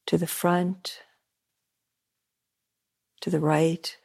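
A woman meditation teacher leading a guided meditation at a retreat center, soft-spoken, with long pauses and gently repeated phrases. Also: fairly low pitch, little pitch movement, gravelly.

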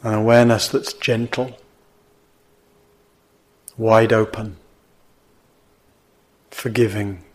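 Slow-paced man guiding a meditation. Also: fairly low pitch, little pitch movement. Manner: gentle, measured, with long pauses between short phrases. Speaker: meditation teacher. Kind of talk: guided meditation.

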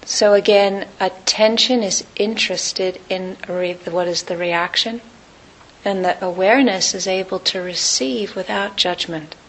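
A woman teaching meditation, guiding listeners in short, unhurried phrases with long pauses between them. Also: mid-range voice, fairly even intonation, fairly bright tone, rough recording. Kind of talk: guided meditation.

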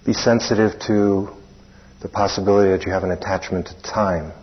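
A man with a deep, husky voice guides a meditation. He speaks in short, plain phrases and leaves long pauses between them. The recording is dull and muffled. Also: fairly even intonation. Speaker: meditation teacher. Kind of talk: guided meditation instruction, spoken live.

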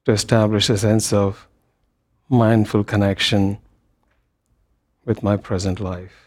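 A man leading a guided meditation as a teacher, speaking gently in short phrases with long pauses between them. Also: on the low side, level tone, a little hiss or hum. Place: meditation hall.

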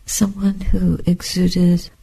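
An older woman, a Buddhist meditation teacher, speaking softly and breathily in a measured, contemplative way, with short phrases and long pauses. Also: fairly low pitch, monotone, vocal fry, slightly rough, low-quality recording. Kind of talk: reflective dharma talk and guided meditation.

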